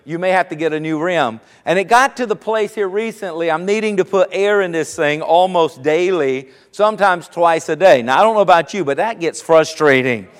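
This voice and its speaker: A man preaching as a pastor, telling a personal story in a relaxed, conversational way before slowing down to stress his main points. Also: a little high, smooth, long reverb tail. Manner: storytelling, conversational, slows for emphasis.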